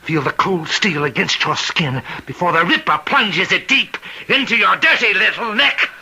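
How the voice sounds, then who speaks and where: Radio drama warden: sharp, intense, husky, tormented by an urge to kill, menacing and anguished. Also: male voice, high-pitched, expressive, normal speed, heavily acted. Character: tormented prison warden possessed by a killer's urge.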